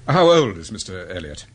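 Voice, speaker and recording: A suave English amateur detective in a radio mystery. His pitch swings very freely and the voice has a husky edge. He is courteous and measured as he presses his questions. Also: very animated, exaggerated voice. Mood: calm probing. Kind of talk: vintage-style radio drama acting.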